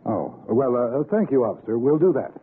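A proper, hesitant, educated married man as an actor plays him in 1960s radio suspense. His medium-pitched voice moves expressively through thin, hissy old broadcast sound. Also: very animated, normal speed, narrow, tinny audio, close to an everyday voice. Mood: polite. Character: polite, fussy educated husband, folk-music specialist.

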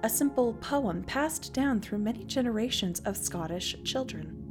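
A woman host tells stories and reads verse in a measured, scripted way. Her voice sits a little high, and music runs clearly behind her. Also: animated. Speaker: podcast host. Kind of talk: scripted narration and poetry reading.

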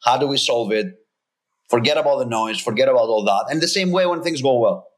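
A man's voice: medium pitch, brisk. A man in his thirties, originally from Greece, a company founder talking earnestly and emphatically as a guest, often restarting his sentences. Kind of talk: casual interview talk.

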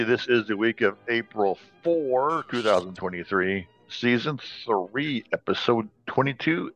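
A man's voice: lowish voice, fairly bright tone, no echo, lo-fi sound. A man hosting a podcast in casual, joking chat, tossing in wisecracks. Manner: wisecracking, jokey. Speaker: podcast host.

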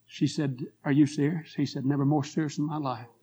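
A hoarse man preaching a revival sermon in a church, telling a story and building to fervent appeals. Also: a little high, steady tone, a little fast, muffled recording. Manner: rising to fervent pleas, then quiet prayer. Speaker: guest preacher. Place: church sanctuary.

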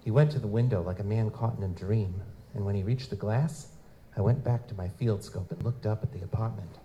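An actor playing a world-weary, cynical private investigator in a live noir audio drama, wry and slangy in manner. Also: male voice, lowish voice, a little fast, dark tone, lightly acted, poor audio. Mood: brooding, wry. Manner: wry, slangy, hard-boiled. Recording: live convention performance, clear background noise.

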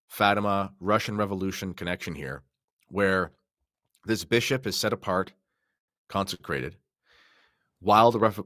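Male podcast commentator, low register, enthusiastic explainer, dry recording. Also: fairly bright tone, no echo. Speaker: podcast guest or co-host commentator.

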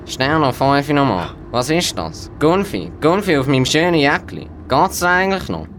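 A man's voice: fairly high pitch, very animated, normal speed. A male performer with a Swiss German accent playing an easily flustered man in an amateur radio play. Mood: outraged. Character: English family father and grumbling taxi driver, easily flustered. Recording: clear outdoor traffic-like background noise.

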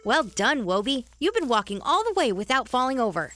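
A woman's voice: high-pitched, sing-song, a little fast, music in the background. A woman playing a caring, alert young girl adventurer in a family audio drama. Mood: caring, bright.

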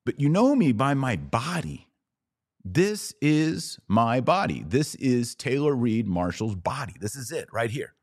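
Slow, emphatic man with very animated pitch. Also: no echo, studio quality. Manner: emphatic, deliberate, with dramatic pauses and repetition. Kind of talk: sermon-like explanatory monologue.

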